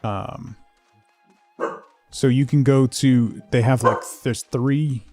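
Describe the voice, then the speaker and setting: Male streamer, gravelly and relaxed, casual rambling chat over faint music. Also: medium pitch, no room sound. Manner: rambling, halting, thinking aloud. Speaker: game livestream host. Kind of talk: casual chat while playing, answering viewers.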